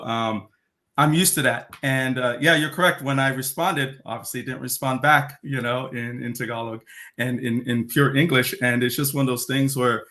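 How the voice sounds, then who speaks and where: A man's voice: medium pitch, sharp tone. A man in his fifties with an American accent, talking casually as an interview guest. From San Francisco, USA. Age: 50s. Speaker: interview guest, video game industry veteran.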